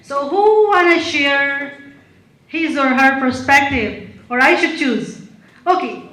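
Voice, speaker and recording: A woman's voice: high voice, expressive, slow pace, fairly bright tone. A woman hosting a community gathering, chatty and inviting, with informal phrasing. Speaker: event host. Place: large indoor event room. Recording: echoey hall, mic at a distance.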